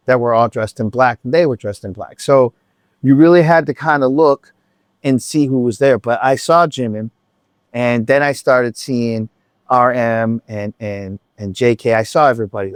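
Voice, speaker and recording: A man's voice: mid-range voice, no echo, clean studio sound. A man telling a concert story in a casual, rambling vlog chat, full of restarts and asides. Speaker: vlogger.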